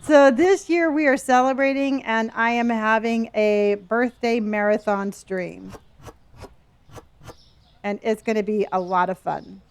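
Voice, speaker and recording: A woman in her sixties talks with her stream audience in a loose, chatty way. She reads comments aloud and restarts sentences often. Her voice sits a little high for a woman. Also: measured pace, clean tone. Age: 60s. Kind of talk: casual live-stream chat with viewers.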